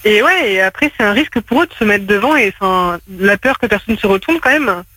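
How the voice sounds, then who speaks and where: Very high-voiced young caller from Bordeaux. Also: male voice, expressive, bright, forceful tone, no room sound, poor audio. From Bordeaux, France. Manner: hesitant, halting restarts. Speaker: radio caller. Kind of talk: casual phone-in chat.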